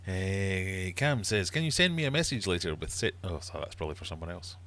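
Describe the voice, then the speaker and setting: A man's voice: sits low, very animated, a little fast, a bit bright, slight background noise. A middle-aged man with a Glaswegian Scottish accent, chatting casually and ramblingly as he hosts a livestream. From Glasgow, Scotland. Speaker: livestream host and quizmaster. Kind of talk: casual live chat, reading viewer comments.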